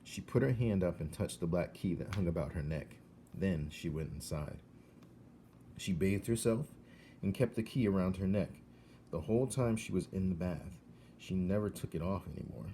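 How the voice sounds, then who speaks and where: Low, mellow male storybook narrator. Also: animated, normal speed, slight background noise. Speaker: storybook reader. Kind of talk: expressive read-aloud narration.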